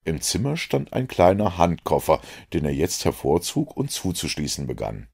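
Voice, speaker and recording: A man reading a detective novel aloud as audiobook narration, in deep, even storytelling with a dramatic lift. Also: moderate pace, creaky, slightly rough. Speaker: audiobook reader.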